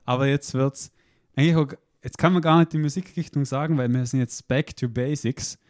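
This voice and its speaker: Chatty radio host, dry sound. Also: male voice, medium pitch, fairly quick, a little mellow, dry recording. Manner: chatty, playful. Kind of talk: casual radio chat.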